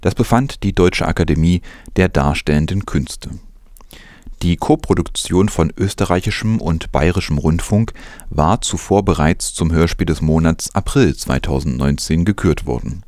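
A man's voice: sits low, normal speed, a little mellow, dry recording, studio quality. A man presenting news, reading from a script in a narration style.

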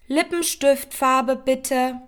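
Gushing female fan commenter, very high voice, slow delivery, spoken emojis, audio collage. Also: fairly even intonation, fairly bright tone, clear, resonant tone, heavily acted. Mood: adoring. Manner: speaks emojis aloud. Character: gushing female social media follower typing comments.

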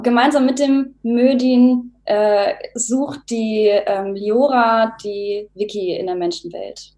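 A woman's voice: mid-range voice, a little mellow. A woman performer chatting and explaining in an informal group interview. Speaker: musical performer.

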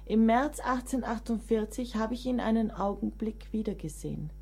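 An actress playing a proud, resigned middle-aged woman writer of the 19th century in a German radio play, measured and restrained. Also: fairly high pitch, animated, warm tone, breathy edge, faint music behind. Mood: resigned farewell. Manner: measured, literary, restrained delivery.

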